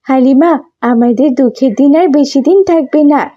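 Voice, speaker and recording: A woman's voice: high voice, normal speed, mellow, dull audio, lightly acted. A woman voicing a fervent, hopeful young Palestinian woman in a cartoon, speaking emphatically. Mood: confident, excited. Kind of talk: cartoon dialogue acting.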